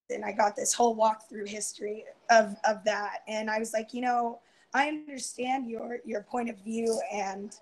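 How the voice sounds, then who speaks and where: Soft, hesitant young woman losing her voice. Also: fairly high pitch, poor audio. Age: 20s. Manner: soft-spoken, hesitant, many ums and restarts. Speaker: guest on a live round-table stream. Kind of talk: casual personal sharing. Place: indoors, home room. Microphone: earphone headset on a video call.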